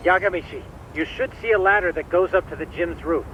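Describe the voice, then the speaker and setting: A man's voice: high voice, brisk, highs cut off, slight background noise, close to an everyday voice. An actor playing a chatty, eager young male hacker in a video game, speaking in a formal, theatrical, wordy way. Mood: helpful, composed. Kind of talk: English video game voice acting.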